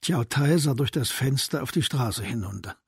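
A man narrating a crime radio play as scripted literary storytelling, in a measured manner. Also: medium pitch, lively intonation, gravelly, breathy edge, dry recording, studio quality.

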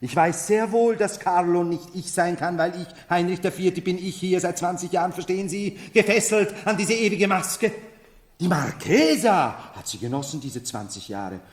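An actor in a 1970s German radio drama playing a bitter, mocking nobleman who feigns madness as a medieval emperor, declaiming theatrically between hush and outcry. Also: sits high, moderate pace, lightly acted. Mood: scornful, proud. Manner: declamatory, swinging from hushed to exclaiming. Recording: reverberant hall.

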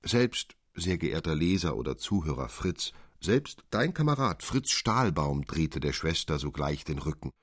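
Male narrator, very low husky voice, dry studio sound, expressive literary storytelling. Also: measured pace, few pauses, no room sound. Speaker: narrator reading a literary fairy tale.

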